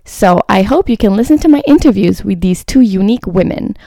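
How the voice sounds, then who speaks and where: A woman hosting a podcast, with an upbeat and welcoming tone. Her voice sits at a middle pitch and has a noticeable creaky, gravelly grain, especially at the ends of phrases. The sound is dry and close, with no room echo. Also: expressive, a little fast, warm tone. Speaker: podcast host. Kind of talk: scripted podcast intro, then interviewing.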